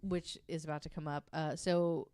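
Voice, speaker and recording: A woman hosting a podcast, casually narrating a researched story from notes in an informal, halting way. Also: sits low, steady tone, a little mellow, smooth, no echo.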